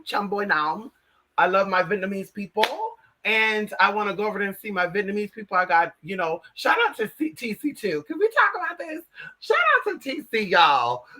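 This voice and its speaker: An American man with a high, strikingly bright and forceful voice hosts a casual livestream. He talks straight to viewers in a sassy, slangy manner, leaning hard on words and calling listeners by pet names. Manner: sassy, slangy, emphatic, addresses listeners as honey and girl. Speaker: livestream host.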